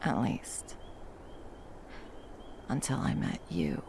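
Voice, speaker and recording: A woman's voice: a bass voice, big pitch swings, very slow, vocal fry, a little mellow, a little hiss or hum, exaggerated voice. A woman voicing a centuries-old female monster hunter in an ASMR roleplay. She talks bluntly and swears a lot, then drops into tender murmurs. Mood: soft, tender.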